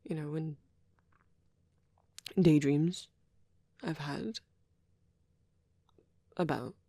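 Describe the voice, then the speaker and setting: Shy vampire boyfriend performed by a woman for ASMR roleplay: breathy, creaky, nervous and halting, very dry intimate recording. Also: low voice, fairly even intonation, no room sound, full character voice. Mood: shy, flustered. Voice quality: soft, husky, breathy. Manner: hesitant, stammering, self-doubting. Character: shy, nervous young male vampire on a date.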